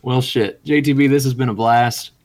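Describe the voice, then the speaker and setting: A man hosting a podcast in relaxed, casual conversation. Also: medium pitch, normal speed. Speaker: podcast host.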